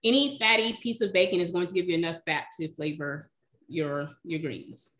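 A woman's voice: lowish voice, normal speed. Chatty woman cook on a video call. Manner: relaxed, chatty, explains by eye. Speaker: cooking demonstrator, recipe blogger. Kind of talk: casual live Q&A. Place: home kitchen. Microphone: video call, webcam.

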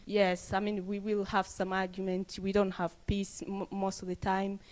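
A woman's voice: medium pitch, completely flat delivery, a little mellow. Lady in her 40s telling her story, earnest, lots of uhs, interviewer mic held up to her. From Tanzania. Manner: earnest, halting with fillers and restarts. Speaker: church member giving testimony. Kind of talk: on-camera testimony interview. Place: indoors, plain room. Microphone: handheld interview mic held up to her.

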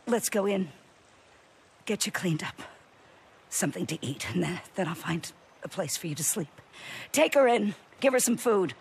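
A woman playing a tough older camp boss in a video game, raspy and gravelly, with a blunt, commanding manner. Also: medium pitch, lively intonation, moderate pace, pauses often, a little mellow, exaggerated voice. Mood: hushed, motherly. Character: tough, hard-bitten older woman running a survivor camp. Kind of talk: naturalistic video game acting.

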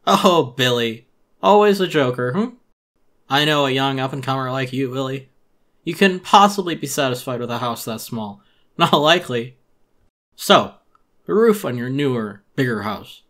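A man's voice: medium pitch, animated, normal speed, a bit bright, natural voice. A man voicing a pushy, falsely friendly raccoon shopkeeper in a dramatic reading, wheedling and unctuous. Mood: smug, patronizing. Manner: unctuous, wheedling, tacked-on questioning tags. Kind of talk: dramatic storybook reading of a video game character.

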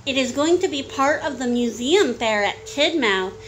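A woman's voice: high-pitched, expressive, moderate pace, a bit bright. A woman voicing a friendly, boastful old male steam engine from a children's storybook, with some background noise. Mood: proud.

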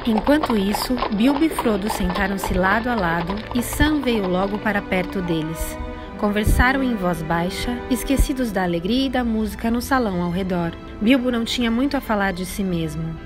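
Brazilian woman narrating over soft music. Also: medium pitch, animated, normal speed. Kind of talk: scripted storybook narration.

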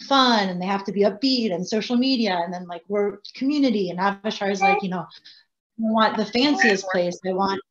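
Woman, forties, non-native English speaker, restaurant owner, animated, rambling, video call, dull audio. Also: mid-range voice, a little fast. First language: French. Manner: animated, gestures, rambling asides. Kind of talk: casual panel talk. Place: home room, indoors.

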